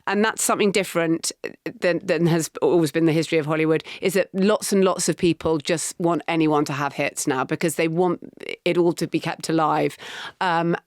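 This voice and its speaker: A middle-aged woman, co-hosting a casual podcast chat, animated and talkative, laughing often. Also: deep voice, fairly quick, dry recording, clean studio sound. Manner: animated, chatty, with laughs and restarts. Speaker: podcast co-host.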